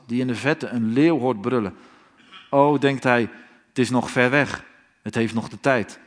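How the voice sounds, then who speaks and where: Guy preaching hellfire, solemn and pleading, mid-pitched voice, keeps asking the crowd questions. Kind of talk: fiery sermon.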